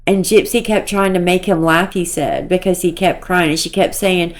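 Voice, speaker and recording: A woman with a squeaky voice giving casual, rambling commentary to her viewers, repeating herself and filling pauses with ums. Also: fairly even intonation. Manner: rambling, repeats herself, soft filler-laden talk. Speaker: commentary creator.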